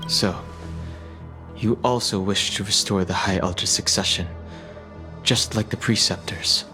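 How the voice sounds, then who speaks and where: An actor playing a reserved, guarded exile in a video game, speaking in a terse, serious way. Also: male voice, lowish voice, fairly even intonation, measured pace, music in the background, natural voice. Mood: wary. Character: reserved, guarded reborn male dragon exile. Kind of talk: video game voice acting, scripted dramatic dialogue.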